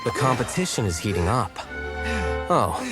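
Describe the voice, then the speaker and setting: An actor playing an excited competition commentator in a video game, announcing dramatically. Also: male voice, mid-range voice, normal speed, lightly acted. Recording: loud music over the voice.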